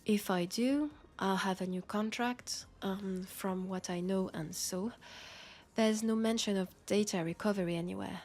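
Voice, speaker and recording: A woman's voice: medium pitch, steady tone, measured pace, darkish tone, close to an everyday voice. A woman with a French accent playing a worried, disillusioned policewoman in an intimate sci-fi roleplay, confiding in a hesitant way. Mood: somber, confiding. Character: worried, disillusioned young policewoman in a dystopian city. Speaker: roleplay audio performer.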